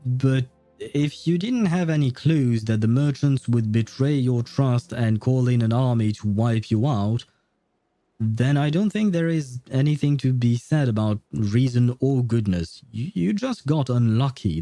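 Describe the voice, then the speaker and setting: A man's voice: medium pitch, expressive, measured pace, dark tone, dry recording. A man livestreaming a game, explaining and commenting in a casual, informal, opinionated way. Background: game music.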